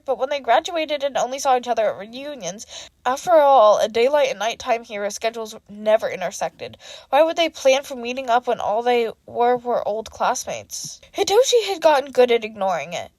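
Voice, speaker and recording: A woman reading fan fiction aloud in an expressive audiobook style. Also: fairly high pitch, no room sound. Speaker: fan fiction podcast reader.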